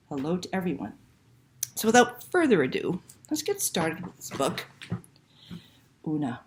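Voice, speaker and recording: A woman's voice: on the low side, a little mellow. A woman hosting a library story time, reading aloud and talking to young children with simple questions and prompts.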